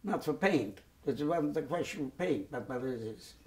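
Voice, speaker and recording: A man, giving oral history testimony in a halting way, with many repeated words and restarts. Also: on the high side, lively intonation, darkish tone. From Poland. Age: 90s. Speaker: Holocaust survivor giving testimony. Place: dark studio set, indoors.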